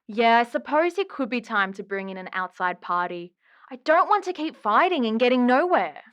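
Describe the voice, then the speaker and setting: Audio drama caller: aggrieved, gossipy woman, high and very animated. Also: normal speed. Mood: hesitant, conceding. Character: exasperated, dramatic woman caller griping about her ghost ex. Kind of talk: audio drama phone-in acting.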